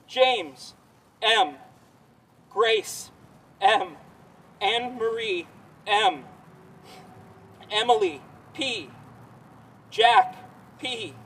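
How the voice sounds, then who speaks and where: A man at an outdoor rally slowly reading a list of victims' names in a somber, measured way. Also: high-pitched, a bit bright, slightly rough. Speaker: rally speaker. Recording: voice distant from the mic.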